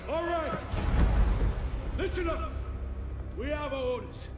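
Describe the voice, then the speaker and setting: An actor playing a hard-charging Marine officer in a war game, barking orders at troops. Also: male voice, extremely high pitch, takes it slowly. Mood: fired up. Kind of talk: military video game cutscene acting. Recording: echoey, crowded, under very loud music.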